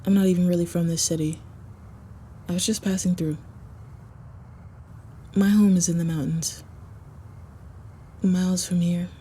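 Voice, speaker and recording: Over noticeable background ambience comes a woman playing a female vampire with a lowish, very mellow voice. She is a self-assured, aloof wanderer with a teasing streak, speaking in measured lines as in an intimate roleplay drama. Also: a little hiss or hum, natural voice. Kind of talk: first-person dramatic roleplay narration.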